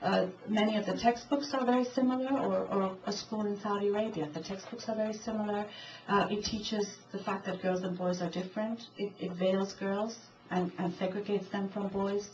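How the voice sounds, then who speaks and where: A woman's voice: mid-range voice, fairly even intonation, normal speed, darkish tone. A woman, an invited speaker, answering a question at a public talk in an earnest, arguing way. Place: event hall. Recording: distant mic, dull, light hiss.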